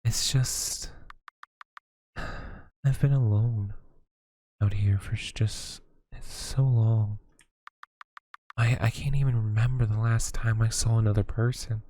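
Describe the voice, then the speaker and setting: A man voicing a lonely, motherly witch woman who lives alone in the woods, speaking gently and hesitantly with sighs. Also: lowish voice, steady tone, slow pace, pauses often, a little hiss or hum. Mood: wistful. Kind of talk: audio roleplay acting.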